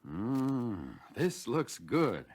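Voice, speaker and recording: Animated American diner customer. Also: male voice, mid-range voice, drawn-out words, husky, exaggerated voice. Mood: impressed. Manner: musing, agreeable. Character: agreeable, curious male lunch counter customer. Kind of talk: scripted 1960 training film acting. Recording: 1960 training film soundtrack.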